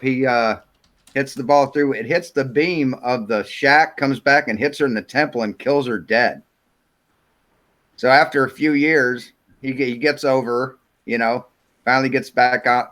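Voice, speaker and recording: A man's voice: mid-range voice, a bit bright. Rambling man telling a joke. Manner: rambling, filler-heavy storytelling.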